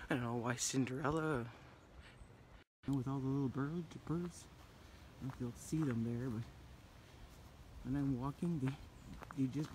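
A middle-aged woman talking casually to her phone while walking a wooded trail, rambling with many restarts and often trailing off into a quiet murmur. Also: a bass voice, a little hiss or hum. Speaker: hiking vlogger. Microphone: phone held at arm's length.

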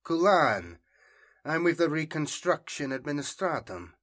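A man's voice: a little high, very animated, a little slow, a bit bright, pitched above the performer's own voice, heavily acted. A man voicing an earnest, diligent male scribe in an audiobook, with precise, hesitant manners. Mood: polite.

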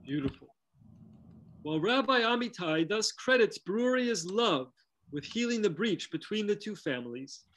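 A man's voice: high voice, fairly bright tone, poor audio. A middle-aged man giving scripted scholarly narration over a video call. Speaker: lecturer and presenter. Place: indoor room with bookshelves, on video call.

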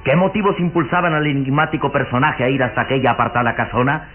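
A man's voice: medium pitch, fairly even intonation, fast talker, natural voice. A man narrating a gothic radio serial in an ornate, solemn, suspenseful vintage style. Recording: phone-like vintage radio recording, music behind.

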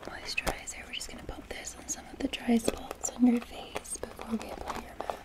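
A woman's voice: a little high, completely flat delivery. Whispering Scottish woman, ASMR facial. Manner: soft, slow whispering, gentle caring attendant. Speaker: ASMR artist giving a spa facial roleplay.

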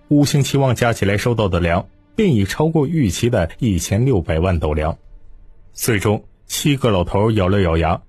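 嗓音沙哑的男性有声书旁白. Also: male voice, lowish voice, a little mellow, slightly rough, no room sound. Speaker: audiobook narrator. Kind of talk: dramatic scripted storytelling narration.

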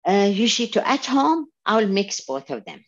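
A woman's voice: medium pitch, big pitch swings, normal speed, dull audio. A middle-aged woman from Syria, an Arabic teacher, speaking earnestly with restarts on a video call through a headset. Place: home room indoors.

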